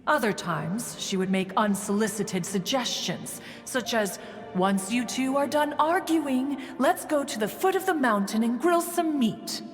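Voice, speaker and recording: A woman playing a proud, stately immortal adeptus in a video game, speaking in formal archaic phrasing and calling herself "one". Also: fairly high pitch. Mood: fond reminiscence. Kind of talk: scripted game dialogue, storytelling. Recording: reverberant hall sound, music behind.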